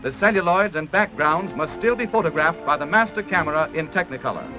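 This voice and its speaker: A man's voice: a little high, lively intonation, brisk. An American man narrating a 1930s promotional film in a formal, grandiose announcer style from a script. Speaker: documentary narrator. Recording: dull vintage 1930s film soundtrack, music. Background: orchestral music.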